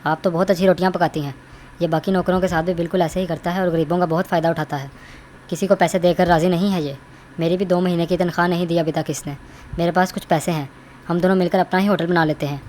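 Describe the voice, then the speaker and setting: A woman voicing a kind, eager young male eatery helper, friendly and earnest, in an animated moral story. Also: fairly low pitch, fast, slight background noise, close to an everyday voice. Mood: sympathetic, earnest.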